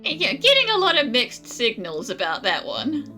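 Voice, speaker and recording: A woman's voice: a little high, wildly swooping pitch, moderate pace, music in the background. A woman giving casual, off-the-cuff commentary while playing a video game. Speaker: gamer commentator.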